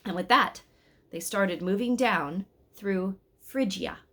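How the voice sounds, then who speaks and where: A woman's voice: mid-range voice, slow, sharp tone. Woman reading a children's story aloud. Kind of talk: expressive read-aloud of a children's story with character voices.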